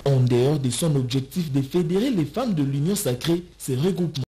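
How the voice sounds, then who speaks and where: A man's voice: on the high side, lively intonation, a little fast, mellow, a little hiss or hum, rough recording. A man narrating a scripted news report.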